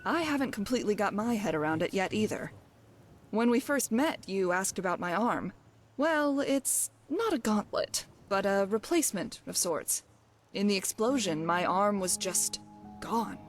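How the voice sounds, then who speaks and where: A woman's voice: medium pitch, lively intonation, moderate pace, a little hiss or hum, natural voice. A woman playing a brash, wry undying thief and adventurer as a companion in a fantasy video game, speaking theatrically. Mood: wry, matter-of-fact. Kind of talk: voiced game dialogue.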